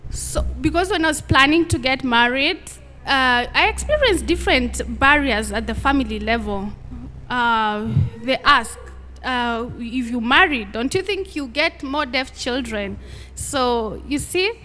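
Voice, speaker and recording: Female speaker, high-pitched, halting personal testimony to an audience, noisy hall. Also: fairly bright tone. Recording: echoey hall, noisy background.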